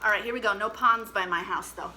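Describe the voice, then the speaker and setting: A woman hosting an interactive musical storytime for children, talking in an animated, encouraging and playful way. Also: medium pitch, a little fast, poor audio. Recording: clear background noise.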